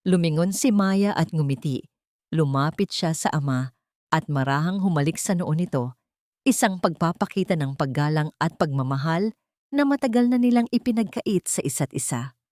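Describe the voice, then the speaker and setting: A woman with a Filipino accent narrating a scripted dramatic story in a solemn, sentimental, measured way. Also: low voice, expressive, darkish tone, no room sound, clean studio sound.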